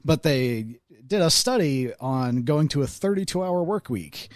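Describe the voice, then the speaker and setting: American male podcast co-host, average pitch, conversational explainer, dry recording. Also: expressive, measured pace, no room sound. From United States. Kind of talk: casual explanation in group chat.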